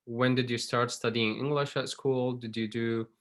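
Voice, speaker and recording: A male English teacher talking to his class over a video call, at an everyday middle pitch. He speaks in a friendly, patient way and drops casual fillers as he goes. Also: fairly even intonation, moderate pace.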